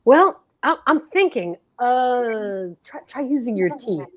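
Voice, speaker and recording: A woman's voice: fairly high pitch, lively intonation, slow. A woman reading the part of a teasing, wisecracking husband in an amateur old-time radio play. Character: husband George, bantering middle-aged man.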